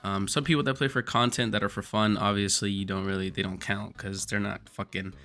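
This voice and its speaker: A man with a hoarse voice from screaming, giving rambling, profane, jokey gaming commentary as a streamer. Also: fairly low pitch, fairly even intonation, a little fast, fairly bright tone, faint music behind.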